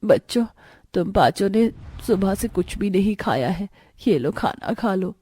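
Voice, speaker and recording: A woman's voice: mid-range voice, animated, a little slow, warm tone, slightly rough. A woman voicing a devoted, earnest village mother of many daughters in a cartoon story. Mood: tender.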